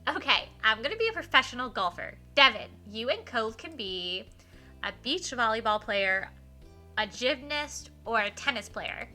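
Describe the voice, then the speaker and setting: A woman's voice: on the high side, big pitch swings, moderate pace, sharp tone. A woman voicing a bossy, eager young girl in a story game, emphatic and insistent, with music behind. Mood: excited.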